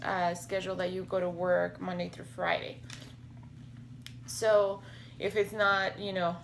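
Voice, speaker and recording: A woman in her thirties speaks slowly and hesitantly into a laptop camera in a small home office, her voice raspy and gravelly with fry. Her pitch sits low and flat, and a faint hiss of room noise runs underneath. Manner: hesitant, many fillers and restarts. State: slightly hoarse from allergies. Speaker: health vlogger. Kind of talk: casual talking-to-camera vlog. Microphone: webcam or laptop camera at desk.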